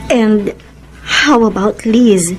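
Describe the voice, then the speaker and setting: A Filipino actress playing a modest, guarded young escort in a radio drama, speaking hesitantly and tenderly. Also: female voice, mid-range voice, very slow. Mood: gently guarded. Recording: music under the voice.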